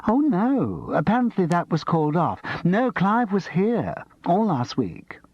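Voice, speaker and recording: A man voicing a chatty, gossipy middle-aged woman with a sharp edge, husky and slightly dull-sounding. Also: high-pitched, very animated, unhurried, no room sound, pitched above the performer's own voice, exaggerated voice. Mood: pointed.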